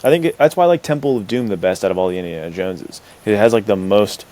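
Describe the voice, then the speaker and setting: A man chatting casually as a podcast co-host, gushing and effusive like an excited fan. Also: fairly low pitch, fast talker. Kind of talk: casual chat.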